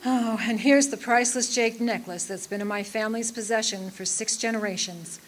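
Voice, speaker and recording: An actress playing a melodramatic double-crossed femme fatale in a theatrical noir parody radio drama. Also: female voice, fairly high pitch, steady tone, normal speed, slight background noise, close to an everyday voice, low-quality recording. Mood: anxious.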